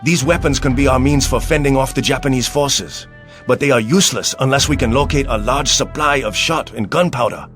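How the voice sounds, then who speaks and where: An actor playing an earnest, loyal Korean army lieutenant in a video game, speaking formally and dramatically. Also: male voice, mid-range voice, fairly quick, fairly bright tone, soft background music, lightly acted. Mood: resolute.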